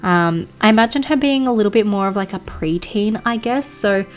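A woman's voice: medium pitch, animated, fairly quick. A woman, a blocked nasally voice, giving a rambling casual voice-over with lots of ums and likes. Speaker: game build creator. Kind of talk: casual voice-over commentary on a game build. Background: soft background music.

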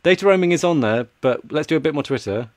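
A man's voice: on the high side, expressive, fast, a little mellow, dry recording. A man in his thirties explaining things in a casual tech chat, speaking into a close mic in a small room. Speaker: podcast panellist. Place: small home room with shelves.

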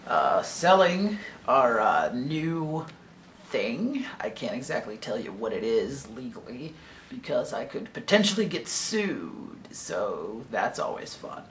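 A young man who has lost his voice, talking hoarsely and raspily in a casual video diary from a hotel room. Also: medium pitch, sing-song, slow, fairly bright tone, reverberant, a little hiss or hum. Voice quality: raspy. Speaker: vlogger. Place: dim hotel room, indoors.

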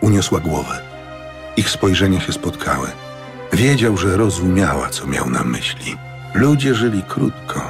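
A man narrating a scripted fantasy audiobook with measured, dramatic storytelling. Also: low voice, sing-song. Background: music.

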